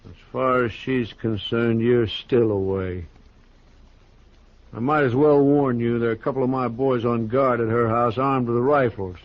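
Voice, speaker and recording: An actor playing a blunt, stubborn, jealous bridegroom in a 1950s western radio drama. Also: male voice, medium pitch, steady tone, unhurried, natural voice. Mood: cold threat. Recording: dull old radio recording.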